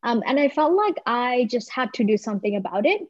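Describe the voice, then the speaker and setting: A woman's voice: on the high side, sing-song, a little fast, no echo, dull audio. A woman in her twenties from Bangladesh, introducing herself on a video call with many hesitant "um"s. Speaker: nonprofit founder.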